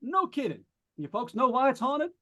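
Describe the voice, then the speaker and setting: A high, very lively voice for an excitable late-night jazz disc jockey , played by a man. He is jovial and wisecracking, chatting to listeners in showy, folksy patter in the manner of old-time radio drama. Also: moderate pace, heavily acted. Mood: mock-spooky, jovial.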